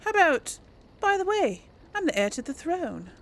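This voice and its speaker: A woman's voice: very high-pitched, very animated, moderate pace. A woman hosting a let's play, giving chatty, informal gameplay commentary and casually role-playing her replies.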